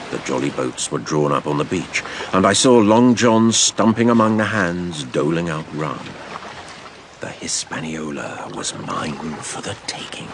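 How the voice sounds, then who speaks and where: A man narrating a dramatised adventure story in a theatrical, measured storytelling manner. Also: a bass voice, creaky, noisy background.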